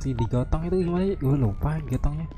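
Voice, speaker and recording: A man playing a roleplay game, chatting casually and excitedly, repeating short commands and swearing. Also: a little high, fast. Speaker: player in a GTA roleplay game. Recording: loud game sirens and vehicle noise behind.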